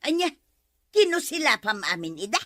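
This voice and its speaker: A woman's voice: sits high, big pitch swings, a little fast. A woman with an Ilocano accent acting a scolding, dramatic village woman in a comedy drama. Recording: loud music over the voice.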